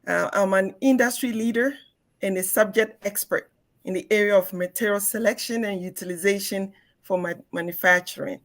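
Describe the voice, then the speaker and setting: A woman's voice: medium pitch, steady tone, moderate pace. A woman, an industry expert, giving a formal introduction on a panel.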